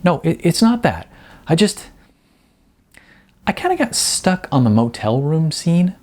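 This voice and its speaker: A man's voice: fairly high pitch, sing-song, a little slow, a little mellow, pitched above the performer's own voice. A man voicing a timid, apologetic young woman with a hesitant, stammering manner in an audiobook. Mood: awkward, hesitant. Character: Jasmine, timid young woman, apologetic, candid, eager to smooth things over. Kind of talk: audiobook character voice.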